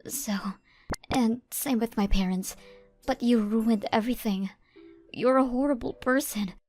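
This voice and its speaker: A woman's voice: a little high, a little slow, darkish tone, soft background music. A woman playing a disappointed, reproachful young ex-fiancée in a manga dub. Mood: hurt, reproachful. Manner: reproachful, hurt. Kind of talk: manga dub character acting.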